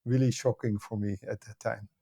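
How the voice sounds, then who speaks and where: A middle-aged or older man from the Netherlands with a Dutch accent, explaining things in a measured, conversational way as an interview guest. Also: fairly low pitch, steady tone, vocal fry, mellow, slightly rough. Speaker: podcast guest, academy director and author.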